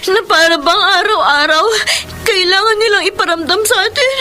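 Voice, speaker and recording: A woman's voice: very high-pitched, moderate pace, sharp tone, soft background music. An actress playing a young Filipina mother and wife in a radio drama, hurt and polite to her elders. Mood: tearful. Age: young adult.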